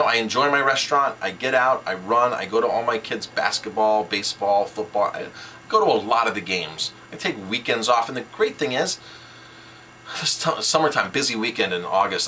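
A middle-aged man speaks in a bright, forceful voice that sits a little low. He coaches informally like a seasoned owner, hammering points home with short repeated sentences. There is faint noise in the room behind him. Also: rough recording. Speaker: restaurant owner teaching business tips. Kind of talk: informal instructional talk to camera.

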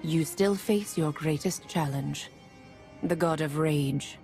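Mellow, low-voiced solemn seer. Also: female voice, vocal fry, heavily acted. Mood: solemn, foreboding. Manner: grave, measured, portentous. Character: solemn female seer of a prophetic order. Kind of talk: video game cutscene acting. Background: faint game music.

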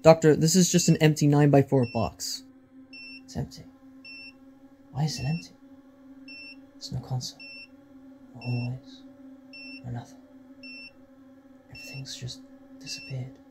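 A man's voice: fairly high pitch, big pitch swings, unhurried, long pauses, very noisy. A man playing a blunt, questioning, teasing travel companion in a fan-made audio drama. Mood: baffled.

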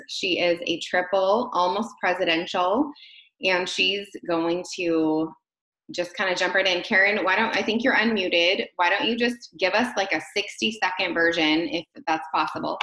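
Casting: woman, 30s, casual webinar host, lowish register, roomy laptop-mic sound. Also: fairly bright tone. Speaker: host of an online training session. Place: small home room, indoors. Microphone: laptop on a video call.

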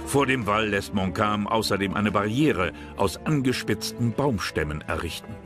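A man's voice: fairly low pitch, lively intonation, normal speed. A man reading scripted historical narration for a documentary. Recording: music behind the voice.

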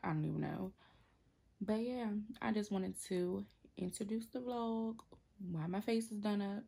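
A young woman vlogger with a raspy, hoarse voice, chatting casually to her viewers from her sofa at home into a close phone camera. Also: mid-range voice, normal speed, mellow. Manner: chatty, laid-back, addresses viewers as girl. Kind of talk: casual vlog talk to camera. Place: home, seated on a sofa indoors. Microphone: phone camera close to face.

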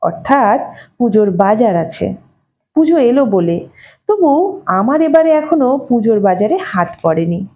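A woman narrating a literary short story expressively, in a measured, wry manner, as an audio story reader. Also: on the high side. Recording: slightly dull, muffled audio.

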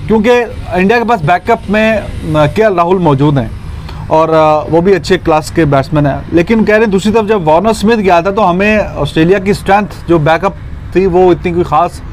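High-pitched Pakistani man hosting. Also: brisk. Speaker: host reading viewer comments. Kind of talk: casual cricket chat. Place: outdoors at a table in a park. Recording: faint outdoor background noise.

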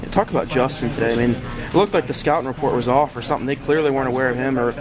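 A man's voice: mid-range voice, rapid-fire. A man working as a sports reporter, putting casual questions in a postgame interview. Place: gym press area, indoors. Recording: crowd noise behind.

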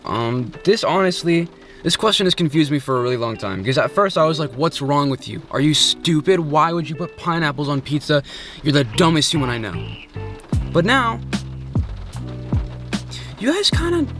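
A young man answering quirky questions in a casual, joking way, filming himself with a phone in a parked car. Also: fairly high pitch. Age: young adult. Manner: playful, joking, quick asides. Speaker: vlogger. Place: inside a parked car at night. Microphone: phone held at arm's length.

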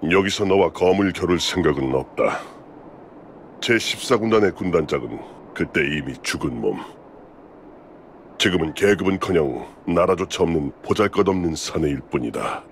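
A man playing a stern, middle-aged former imperial legion commander in a game cutscene, speaking in a grave, formal, measured way. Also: low voice, expressive, slight background noise. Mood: solemn. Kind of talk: scripted game cutscene acting.

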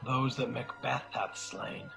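A man acting a grave, wary nobleman in a radio play, with a gravelly mid-pitched voice. Soft music plays faintly behind him. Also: fairly even intonation, slow pace, exaggerated voice. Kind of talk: amateur radio drama acting.

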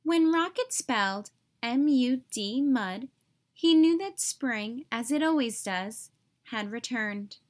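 A woman reading a children's story aloud in an expressive, carefully phrased way for young listeners. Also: on the high side, slow pace.

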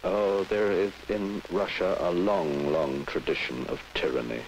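A man interpreting into English in a measured, halting way, recorded in an old broadcast. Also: low voice, a crowd in the background, rough recording. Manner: measured, halting, restarts phrases. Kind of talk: live interpreting. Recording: old broadcast with faint hiss.

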